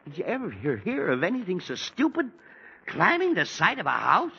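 A man's voice: sits high, huge pitch swings, moderate pace, gravelly, pushed and bright, husky, heavily acted. An actor playing an exasperated middle-aged father with a headache, fussy and easily annoyed, in 1940s radio acting. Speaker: father of a teenage son. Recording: dull, faintly hissy 1940s radio recording.

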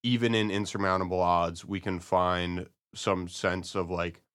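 A man co-hosting a podcast, discussing and reviewing in a casual, halting, analytical way. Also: sits low, level tone, a bit bright. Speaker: podcast co-host.

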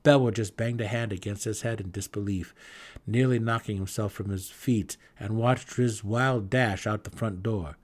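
A man's voice: on the low side, fairly even intonation, moderate pace. A man reading a scripted fantasy story as a dramatic audiobook narrator.

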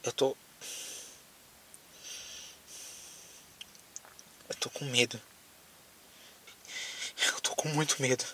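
A man acting in an audio drama as a lonely, frightened apocalypse survivor with a Brazilian accent, speaking haltingly as if on a phone call. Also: mid-range voice, fairly bright tone, far from the mic, a little hiss or hum. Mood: fearful. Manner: hesitant, halting, repeats words.

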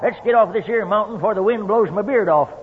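A man's voice: high-pitched, fast, lightly acted. An actor playing a crusty, folksy old frontier father with an American accent in a radio western. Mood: impatient. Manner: folksy, blustering. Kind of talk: 1950s radio western acting. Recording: tinny, noisy old radio broadcast.

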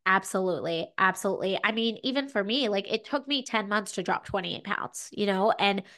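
A woman's voice: mid-range voice, fairly quick, fairly bright tone. A young adult woman co-hosting a fitness podcast, giving casual advice with lots of "like" and "you know", a little stuffy from a cold. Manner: chatty, frequent "like" and "you know". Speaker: podcast co-host, fitness coach.

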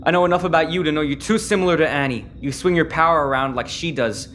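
A man's voice: fairly high pitch, quick pace, fairly bright tone, slight background noise, natural voice. A man playing a frustrated, defiant teenage boy in a sci-fi audio drama, argumentative and sarcastic. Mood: defiant, mocking.

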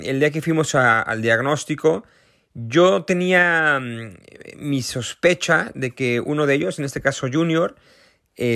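A Mexican man in his thirties speaks earnestly in a recorded message, pausing often as he searches for words. His voice sits at an ordinary pitch. The recording is dry and close, with no room sound. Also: fairly bright tone, no room sound. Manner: earnest, thoughtful, with frequent hesitations. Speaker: guest parent, association founder.

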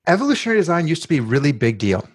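A man appearing as a podcast guest, explaining a software design idea in a measured, thoughtful way. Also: fairly high pitch, big pitch swings, breathy edge, no room sound, clean studio sound.

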